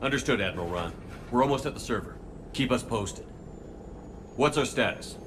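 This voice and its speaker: An actor playing a steady, resolute human military commander in measured video game dialogue. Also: male voice, medium pitch, noisy background, close to an everyday voice. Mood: questioning, determined.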